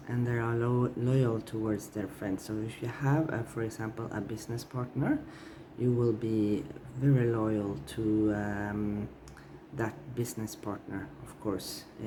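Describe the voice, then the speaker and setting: A man giving a tarot reading with a scratchy, strained voice, hesitant and full of fillers and restarts. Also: medium pitch. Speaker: tarot reader.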